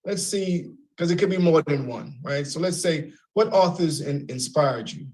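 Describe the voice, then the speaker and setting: Halting man hosting a radio talk show. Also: on the high side, a little mellow. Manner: halting, repeats words, rephrases questions. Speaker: radio host. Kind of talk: radio interview.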